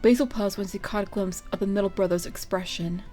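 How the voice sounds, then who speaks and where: Low-voiced woman narrating a story. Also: a little fast, warm tone, faint music behind. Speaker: fan fiction reader. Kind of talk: expressive scripted story narration.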